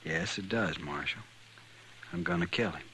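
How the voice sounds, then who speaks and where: Doomed, quietly determined frontier stranger: very deep, bright voice, polite and fatalistic, vintage radio western. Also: male voice, moderate pace. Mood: grimly resolved. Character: resigned, ailing newcomer out West, quietly determined. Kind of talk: 1950s radio western acting. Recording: old 1950s radio recording, faint noise.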